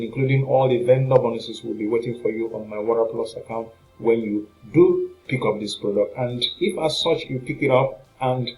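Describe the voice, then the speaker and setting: Man pitching products, salesy and hesitant. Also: vocal fry, a little mellow, low-quality recording. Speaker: online marketing product reviewer and channel host. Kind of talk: unscripted promotional review to viewers.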